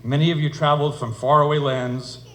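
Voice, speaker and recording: A man's voice: a little high, little pitch movement, fairly quick, fairly bright tone. A middle-aged man giving a humorous wedding speech in a storytelling way, with dry asides, as father of the bride. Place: indoor wedding reception venue. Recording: echoey room, noisy event ambience.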